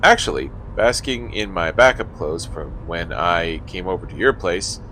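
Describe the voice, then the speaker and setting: A man's voice: sits low, measured pace, bright, forceful tone, a little hiss or hum, poor audio. A man playing an indignant, blunt buddy in an audio comedy-drama, with an exasperated, emphatic delivery. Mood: wry, upbeat. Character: indignant, blunt young man, loyal griping buddy Stu.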